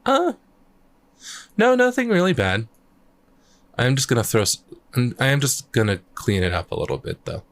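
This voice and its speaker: A man chatting casually on a live stream, thinking out loud as he works on his music, his voice a little croaky. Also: mid-range voice, huge pitch swings, normal speed, pauses often. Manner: rambling, thinks aloud while working. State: slightly croaky voice. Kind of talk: casual livestream chat.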